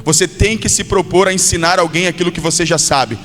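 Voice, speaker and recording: A Brazilian male pastor delivers a sermon in a high-pitched voice. He exhorts his listeners directly, driving points home through repetition. His voice rings through a long hall reverb, with music playing behind him. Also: a little fast, fairly bright tone. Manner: exhortative, direct address with acted-out quotes and repetition.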